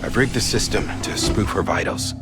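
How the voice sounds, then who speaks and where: An actor playing a loyal, guilt-ridden soldier in a video game, speaking gravely and deliberately in hushed tones to his former commander. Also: on the low side, heavy background noise, lightly acted. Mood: grave, urgent. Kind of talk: dramatic video game cutscene acting. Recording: music behind the voice. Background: dramatic music.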